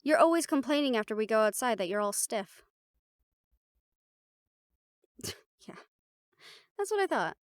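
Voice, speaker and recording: A woman's voice: on the high side, animated, a little fast, no room sound, clean studio sound, natural voice. A woman playing a confident, playful siren-banshee warrior in a conversational audio roleplay, talking intimately to the listener. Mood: teasing, reassuring.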